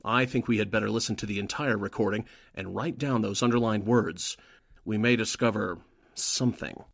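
A man's voice: lowish voice, normal speed, vocal fry, a bit bright. A man playing a suspicious, cautious Nazi henchman in a 1940s-style radio drama.

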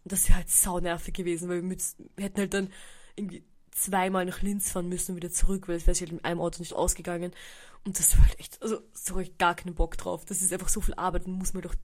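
Fast-talking, congested Austrian woman. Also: lowish voice, little pitch movement, a little mellow. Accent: Austrian German. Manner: chatty, rambling, mixes in English words. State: stuffy nose from a cold. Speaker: podcast host. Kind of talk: casual solo chat. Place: indoors at home.

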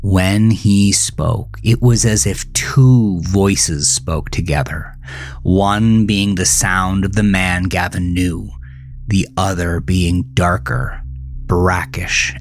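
Male horror narrator, deliberate and dramatic, slow delivery, slightly deep, light musical bed. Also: fairly even intonation. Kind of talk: scripted horror story narration. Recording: music behind the voice.

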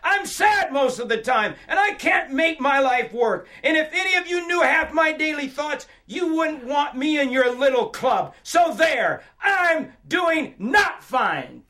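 A man playing a weary, desperate seeker who pours out his confessions in loud, emotional outbursts. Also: high voice, moderate pace. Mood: exasperated shouting.

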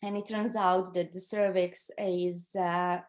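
A middle-aged woman from Croatia with a Croatian accent tells a personal story as an interview guest, conversationally, pausing to search for words in her second language. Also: on the low side, level tone. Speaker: interview guest recounting her own experience.

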